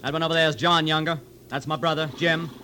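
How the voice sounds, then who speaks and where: An actor playing a cold, commanding outlaw gang leader in a 1950s radio western, with curt delivery. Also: male voice, a little high, steady tone, quick pace, sharp tone. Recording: slightly noisy old radio recording.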